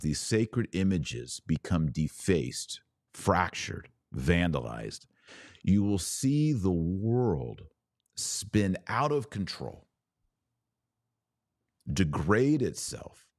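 A man hosting a religious podcast, preaching in an earnest, emphatic way with deliberate, broken-up phrasing. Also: deep voice, a little mellow, no echo, studio quality. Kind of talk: preachy video commentary.

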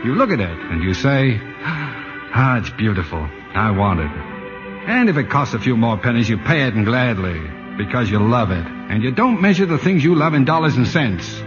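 A man's voice: medium pitch, sing-song, normal speed, music in the background, natural voice. A man playing a stubborn, dreamy husband with an American accent, earnest and defensive, in 1940s radio acting. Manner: earnest, defensive, hesitant starts. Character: stubborn, dreamy middle-class husband, smitten with his house. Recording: dull lo-fi 1940s radio broadcast.

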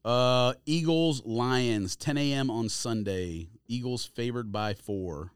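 A man's voice: medium pitch, slow pace, clean tone, no echo, studio quality. A man hosting a casual sports podcast, running through games and picks in loose banter.

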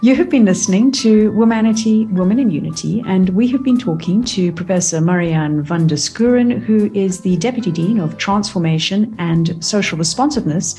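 A woman's voice: mid-range voice, moderate pace, few pauses, warm tone, faint music behind. A woman hosting a radio interview show, encouraging her guest and closing the show with a polished sign-off.

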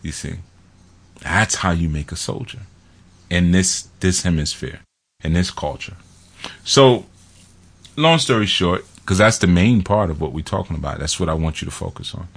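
A man hosting casual film commentary, rambling and digressive with lots of fillers. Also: sits low.

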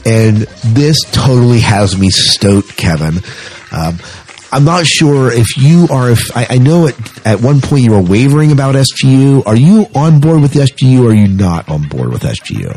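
A man's voice: medium pitch, big pitch swings, moderate pace, a little mellow, low-quality recording. A man hosting a podcast in a conversational, rambling style of casual news chat. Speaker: podcast host.

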